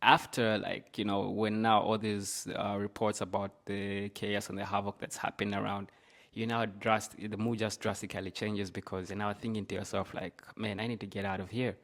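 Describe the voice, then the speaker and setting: A man's voice: lowish voice, little pitch movement, moderate pace, gravelly, a bit bright. A man from Zambia with a Zambian accent, telling his own story conversationally as a podcast guest, with casual filler phrases like "you know".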